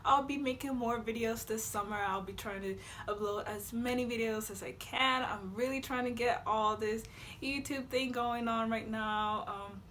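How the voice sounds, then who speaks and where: A woman's voice: on the high side, steady tone, normal speed, fairly bright tone, a little hiss or hum. A young woman from Honduras vlogging casually to camera in her room, chatty, with many fillers and frequent laughs. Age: young adult. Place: bedroom with a flag behind her.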